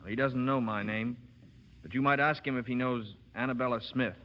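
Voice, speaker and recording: A man's voice: medium pitch, fairly even intonation, moderate pace, lightly acted. An actor playing a polite, hesitant, guarded man on the run in 1930s radio style. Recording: muffled, reverberant 1930s radio broadcast.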